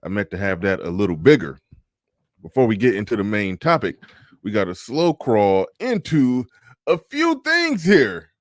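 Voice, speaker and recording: Laid-back low-voiced man, dry close sound. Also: very animated, normal speed, dry recording. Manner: laid-back, laughs often, drawls. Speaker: livestream host. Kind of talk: casual livestream chat reading comments.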